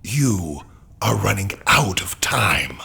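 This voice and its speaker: A cold, threatening villain in a video game cutscene. The voice is extremely husky and gravelly, and each word comes out very slowly and with deliberate menace. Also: male voice, lowish voice, sing-song, sharp tone, exaggerated voice. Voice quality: rasping, gravelly whisper.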